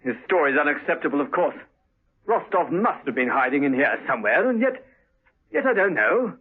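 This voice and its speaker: An actor playing a flustered, officious prison colonel in a 1970s radio drama, formal and defensive. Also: male voice, a little high, big pitch swings, normal speed, slightly rough. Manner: formal, defensive, hesitant when apologetic. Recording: muffled old radio broadcast recording.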